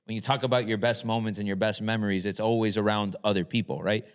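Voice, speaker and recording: Male podcast host, low and smooth, code-switches into Spanish, steady and reflective. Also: fast talker. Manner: drops Spanish words into English, affirming and reflective. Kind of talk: casual podcast interview.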